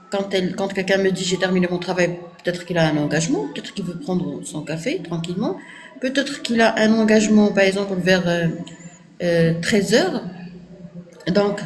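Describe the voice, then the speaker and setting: A woman's voice: medium pitch, a little mellow, a little hiss or hum. A woman speaking French with an Arabic accent, Arabic as her first language, answering viewers in a firm, didactic live stream and checking agreement with "ok?". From Morocco. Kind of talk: casual live-stream talk.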